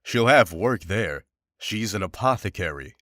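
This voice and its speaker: A man playing a stoic, dry-witted monster hunter in a visual novel, speaking in a terse, deadpan manner. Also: on the low side, unhurried, no echo.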